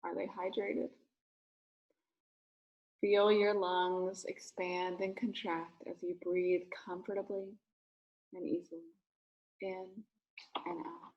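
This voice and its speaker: A woman speaking as a rabbi leading a guided meditation, giving gentle, soothing instructions in short phrases with pauses. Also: mid-range voice, steady tone, dull audio.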